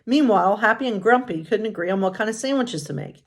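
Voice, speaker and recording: Casting: female pastor-storyteller, medium pitch, expressive and informal, reading aloud with casual asides. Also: fast. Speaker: pastor and bedtime story host.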